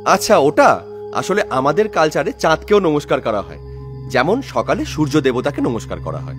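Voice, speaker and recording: A man's voice: on the high side, very animated, normal speed, over music. A man playing an earnest, polite middle-aged family man in an audio horror story.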